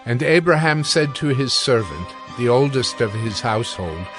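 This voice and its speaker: A man narrating a dramatized scripture reading in a measured, formal, scripted style. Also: medium pitch, animated, over music.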